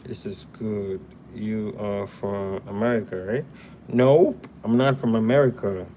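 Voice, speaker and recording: A man's voice: on the low side, a little slow, warm tone, a little hiss or hum. A young man from Ghana hosting a live drawing tutorial, chatting casually with viewers while he sketches at a desk indoors. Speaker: drawing livestream host.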